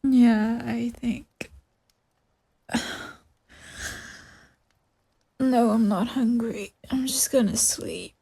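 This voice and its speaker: A woman playing a tender, sleepy girlfriend in an ASMR comfort roleplay, speaking softly and soothingly. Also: a little high, slow pace, gravelly, husky, exaggerated voice. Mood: sleepy, tired. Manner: soft, soothing, drowsy, murmuring into whispers.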